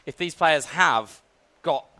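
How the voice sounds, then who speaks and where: A man's voice: a little high, slow pace, bright, forceful tone, dry recording. A man with an English accent giving fluent, upbeat play-by-play commentary on an esports football match, often speaking to the viewers directly. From England.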